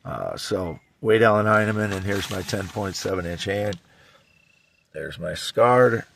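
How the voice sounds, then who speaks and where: A man in his fifties from Iowa with a Midwestern American accent and a scratchy voice, rambling in a halting, repetitive, insistent monologue. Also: fairly low pitch, expressive, gravelly, fairly bright tone. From Iowa, United States. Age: 50s. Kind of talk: rambling self-recorded monologue.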